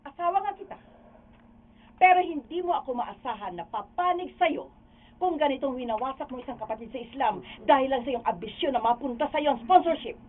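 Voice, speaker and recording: A woman's voice: high voice, animated, a little fast, far from the mic. A woman playing an indignant, principled wife in a Filipino radio drama, emphatic and scolding, with a Filipino accent. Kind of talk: amateur radio drama acting. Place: radio recording studio. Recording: muffled phone-like audio with background noise.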